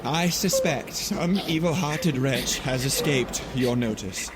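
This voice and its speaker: An actor playing an earnest young gentleman full of dread, formal and hesitant, in an audio drama. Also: medium pitch, slow, heavy background noise, close to an everyday voice. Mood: earnest pleading.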